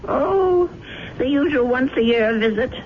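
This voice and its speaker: A woman's voice: high-pitched, lively intonation, slow pace. An actress playing a kindly, fussy elderly landlady in a 1970s radio drama. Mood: chatty, gentle. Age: older. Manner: fussy, chatty, motherly. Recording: dull, noisy old radio broadcast.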